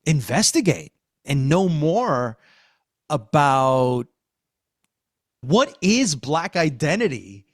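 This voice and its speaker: Effusive male podcast host, higher-pitched, dry sound. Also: no echo. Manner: effusive, emphatic with repeated phrasing. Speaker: podcast host and cultural critic. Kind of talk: enthusiastic podcast discussion.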